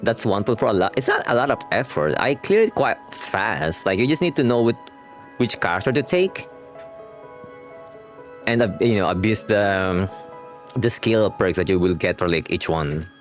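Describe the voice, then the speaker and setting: A man's voice: on the low side, very animated, moderate pace. A man doing casual, slangy game reaction commentary, rambling along and reading dialogue aloud. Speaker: game streamer reacting to a story quest. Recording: game music playing behind. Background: video game music and dialogue.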